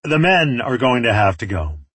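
A man voicing a menacing male warlord in an audiobook, taunting and mockingly genteel in a theatrical, drawn-out way. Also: medium pitch, very animated, fairly bright tone, slightly rough, no room sound. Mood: cruel, amused.

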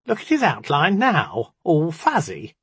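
A man's voice: a little high, wildly swooping pitch, unhurried, fairly bright tone, breathy edge, dry recording, pitched above the performer's own voice, full character voice. A man voicing a one-eyed rat-spirit in a storybook, a sceptical and dismissive grumbler. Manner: challenging, dismissive.

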